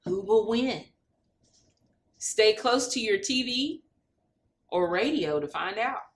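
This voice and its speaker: A woman reading a picture book aloud for children in an expressive way. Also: mid-range voice, takes it slowly.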